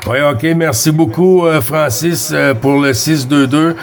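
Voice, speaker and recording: A man with a Québécois accent speaks in a fairly high voice. He chats as a CB operator, politely and haltingly, often repeating himself as he goes. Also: noisy background. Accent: Québécois French. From Quebec, Canada. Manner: hesitant, courteous, repeats himself. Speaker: amateur CB radio operator. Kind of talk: casual radio contact chat.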